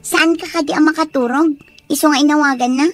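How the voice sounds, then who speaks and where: A woman's voice: high-pitched, fairly even intonation, fairly quick, over music, natural voice. A woman playing a sensible, concerned friend giving counsel in an Ilocano radio drama, with an Ilocano accent.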